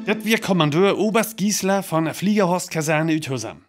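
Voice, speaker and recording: North frisian radio news anchor, serious urgent bulletin, bit high voiced, faint music under it. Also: male voice, animated, fairly quick. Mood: grave, formal. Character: male radio newsreader reporting a grave disaster. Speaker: radio news announcer in a radio play.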